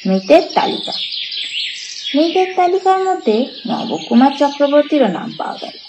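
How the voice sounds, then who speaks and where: High, lively woman storyteller with creaky edges. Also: normal speed, background noise. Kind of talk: dramatic literary audiobook narration in formal Bengali.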